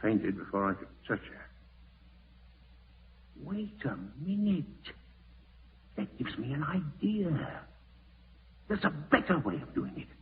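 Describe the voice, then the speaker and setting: Villainous schemer, tinny old radio. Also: male voice, a little high, sing-song, slow pace, long pauses, slight background noise, exaggerated voice. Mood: gloating. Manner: menacing, melodramatic, muttering to himself. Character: cruel scheming man gloating, then frantic with panic. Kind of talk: 1940s radio horror acting. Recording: tinny, band-limited 1940s radio recording.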